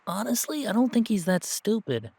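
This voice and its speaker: A man playing an earnest, anxious young werewolf who thinks aloud haltingly in an audio roleplay. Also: sits high, big pitch swings, vocal fry, darkish tone, breathy edge, dry recording, voice pushed up, full character voice. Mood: earnest, reasoning. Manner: hesitant, rambling, halting.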